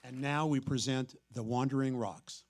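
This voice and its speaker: A man hosting a literary event, giving a measured, explanatory prepared introduction. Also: medium pitch, steady tone, a little hiss or hum. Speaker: host introducing a staged reading. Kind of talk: prepared introductory talk to an audience.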